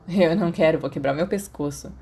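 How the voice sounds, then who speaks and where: A woman's voice: low-pitched, fairly quick, dark tone, husky. A woman with a Brazilian accent voicing a dry, reluctant teenage schoolboy in an audiobook.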